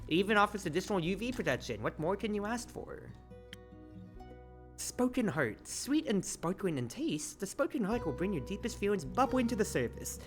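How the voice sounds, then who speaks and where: A streamer commentating on a game and reading its text aloud in an expressive, theatrical, exclamatory way. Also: medium pitch, normal speed, soft background music. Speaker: streamer, host.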